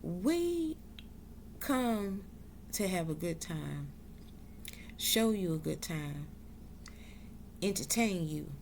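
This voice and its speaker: A woman's voice: on the low side, animated, drawn-out words, gravelly, long pauses, a little hiss or hum. A woman from South Carolina, talking earnestly and unhurriedly in a reflective vlog monologue. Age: adult. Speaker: vlogger.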